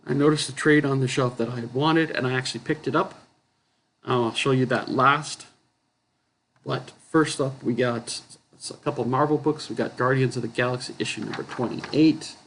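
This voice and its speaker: A man chatting casually about his comic book haul, his voice going hoarse and rough, clearing his throat often and correcting himself as he rambles. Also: medium pitch, fairly even intonation, moderate pace, a bit bright, slight background noise. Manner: rambling, self-correcting, chuckling. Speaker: comic book collector vlogger.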